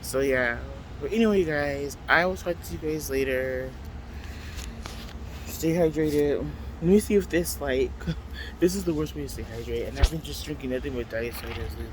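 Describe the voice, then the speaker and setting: A man chatting casually in a rambling vlog, talking loudly into a handheld phone outdoors at a transit stop. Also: medium pitch, normal speed, poor audio. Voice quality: loud voice. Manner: rambling, chatty, full of fillers. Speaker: vlogger. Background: faint city traffic noise.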